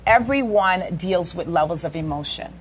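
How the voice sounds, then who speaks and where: A woman's voice: lowish voice, lively intonation, moderate pace, slight background noise. Woman explaining with frequent "right?". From United States. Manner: explanatory, checks in with "right?" and "okay?". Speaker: guest therapist. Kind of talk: interview talk.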